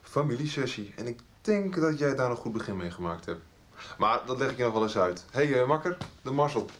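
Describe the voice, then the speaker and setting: An actor playing a calm, easygoing, reassuring man in a 1990s radio soap. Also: mid-range voice, fairly quick, close to an everyday voice. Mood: calm, coaxing.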